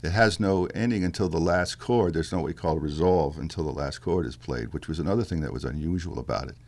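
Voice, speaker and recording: A male musician with a deep bass-baritone voice, talking in a halting, thoughtful way with fillers and restarts in a casual interview. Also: a little mellow. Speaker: musician guest.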